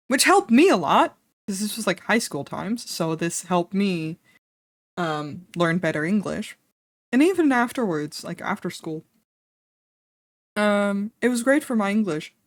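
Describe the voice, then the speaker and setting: A young woman with a Dutch accent talks casually to her stream, candid and quick to laugh, with the odd swear word. Her voice sits a little low for a woman. The sound is dry and close, with no room echo. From Netherlands. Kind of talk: casual stream chat while drawing.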